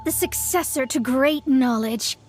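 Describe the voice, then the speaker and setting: A woman's voice: high-pitched, unhurried, darkish tone. A woman playing a haughty young astrologist in a video game, speaking in a pompous, formal way. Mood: haughty, instructing. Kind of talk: anime-style video game voice acting. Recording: music behind the voice. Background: game background music.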